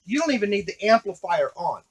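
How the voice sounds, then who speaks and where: High voiced guy doing a casual gadget walkthrough, explaining it step by step as he goes. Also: very animated, a little fast, breathy edge. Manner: explanatory, step-by-step, addressing the viewer. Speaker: device demonstrator. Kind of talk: casual unscripted hands-on product tutorial.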